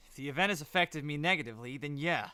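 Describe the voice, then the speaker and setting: A man playing a rebellious young prince in an audio drama, flippant and sarcastic with a smooth, clear voice. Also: fairly high pitch, animated, quick pace, pushed and bright, no room sound. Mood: defiant, sarcastic. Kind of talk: scripted audio drama acting.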